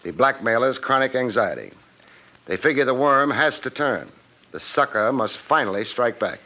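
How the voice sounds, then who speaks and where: A man's voice: mid-range voice, expressive, normal speed, pushed and bright. A man playing a wry, hard-boiled private investigator in a 1950s radio drama, with a clipped tough-guy delivery. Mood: knowing. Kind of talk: 1950s radio detective drama acting and narration. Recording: muffled 1950s radio recording with music.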